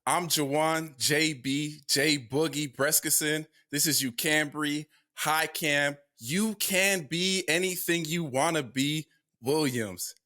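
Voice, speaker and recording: A man's voice: fairly high pitch, bright, forceful tone. A young man hosting a casual sports podcast, talking with many fillers and restarts. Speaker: podcast host.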